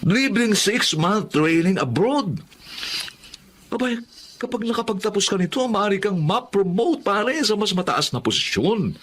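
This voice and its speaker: Pushy young pal, swooping highish voice. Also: male voice, big pitch swings, unhurried, fairly bright tone, slight background noise, heavily acted. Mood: insistent, coaxing. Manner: insistent, coaxing, emphatic. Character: pushy, exasperated young male pal urging his cousin. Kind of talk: radio drama acting.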